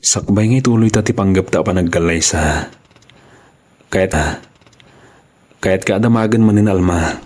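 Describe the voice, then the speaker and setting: A man with an Ilocano accent acting a humble, devoted young man in love in a radio drama, with a husky voice. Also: deep voice, moderate pace, pauses often, a little mellow, close to an everyday voice. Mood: pleading, solemn.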